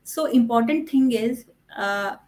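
Female medical expert for a podcast interview, Indian accent, slightly high voice, explains at length. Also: unhurried, darkish tone. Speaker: fertility doctor, podcast guest.

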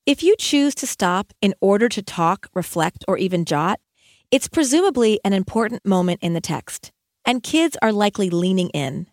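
A woman educator reading her own audiobook as scripted narration, with measured, emphatic phrasing and deliberate pauses. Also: medium pitch, expressive, a little mellow, dry recording, studio quality.

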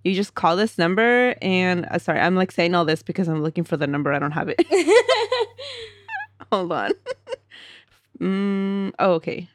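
A woman's voice: fairly low pitch, big pitch swings, unhurried, pauses often, a little hiss or hum. A woman co-hosting a podcast, chatting casually, laughing often and reading a listener's story aloud. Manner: giggly, chatty, reads aloud with asides. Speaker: podcast co-host.

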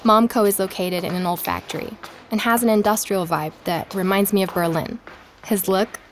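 An actress playing a podcast host, narrating in a calm, reflective way for an audio drama. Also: female voice, mid-range voice, normal speed, a little hiss or hum, close to an everyday voice. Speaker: podcast narrator and investigator Emma.

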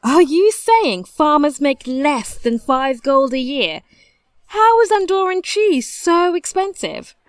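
A woman's voice: sits high, sing-song, slow, no echo, exaggerated voice. A woman playing a naive, wealthy young noblewoman, polite and earnest, in a fantasy audio drama. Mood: indignant disbelief. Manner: polite, genteel, exclamatory.

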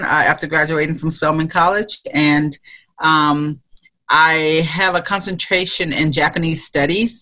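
Bright-voiced woman guest, chatty. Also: on the low side. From Mississippi, USA. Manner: rambling, searching for words. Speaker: podcast guest. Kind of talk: conversational interview answers.

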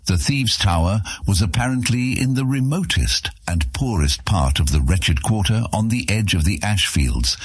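A man narrating an epic fantasy audiobook in a dramatic, measured, suspenseful storytelling style. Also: deep voice, breathy edge, no echo, studio quality.

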